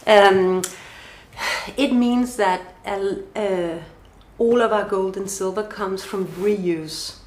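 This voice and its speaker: A woman's voice: mid-range voice, steady tone, slow pace. Danish-accented woman in a roomy space. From Denmark. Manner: thoughtful, lists questions, some restarts. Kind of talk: podcast interview answers. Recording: roomy echo.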